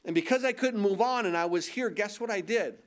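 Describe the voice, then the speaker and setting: Man, pastor, nasal, high voice, sermon, rhetorical questions. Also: a little fast. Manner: conversational preaching with rhetorical questions.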